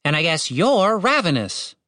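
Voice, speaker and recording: A woman's voice: low voice, sing-song, normal speed, a bit bright, no room sound, clean studio sound, voice pushed up, heavily acted. A woman playing a defensive, exasperated young man in a fantasy audiobook, talking casually. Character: testy, defensive young man, reluctant adventurer.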